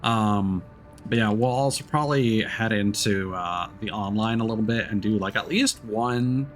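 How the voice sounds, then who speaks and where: A man's voice: on the low side, moderate pace, faint music behind. A man doing casual live game-stream commentary, thinking aloud in a rambling way.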